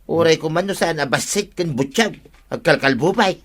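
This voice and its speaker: An offended villager in a radio comedy drama. He grumbles plainly in a fairly high male voice with an Ilocano Filipino accent. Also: animated, moderate pace, fairly bright tone.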